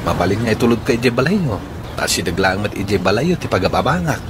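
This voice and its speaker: An actor playing an earnest, persistent young suitor in an Ilocano radio comedy drama. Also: male voice, fairly low pitch, sing-song, fairly quick, noisy background. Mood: persuading.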